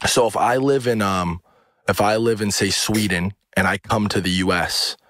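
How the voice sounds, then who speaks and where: A man's voice: lowish voice, fairly even intonation, normal speed, fairly bright tone, dry recording, clean studio sound. A man hosting a podcast debate, blunt and profane, pressing his point. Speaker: podcast host. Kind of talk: heated debate.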